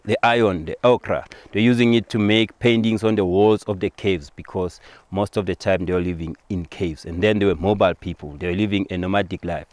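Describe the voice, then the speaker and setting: Man, Southern African accent, low pitch, dry close sound, tour guide, explanatory, repeats phrases. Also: darkish tone, dry recording. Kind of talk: informal guided tour talk.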